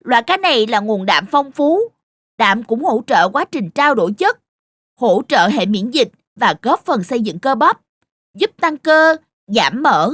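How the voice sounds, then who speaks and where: A woman's voice: fairly high pitch, measured pace, no room sound, full character voice. A woman voicing a knowledgeable, helpful young friend who explains things in a children's cartoon. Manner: instructive, expressive.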